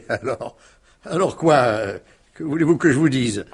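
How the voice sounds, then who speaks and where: Wry, evasive husband in a French radio whodunit. Husky, animated, unruffled irony. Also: male voice, medium pitch, a little slow. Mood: ironic, unbothered. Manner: ironic, nonchalant, evasive. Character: wry, unruffled husband suspected over his missing wife. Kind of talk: French radio mystery play acting.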